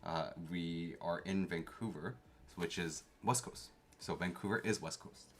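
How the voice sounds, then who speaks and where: A man's voice: sits low, measured pace, slight background noise. A young man from Canada who grew up speaking Korean at home, chatting casually with live-stream viewers and reading the chat aloud. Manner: chatty, reads chat aloud, playfully defensive.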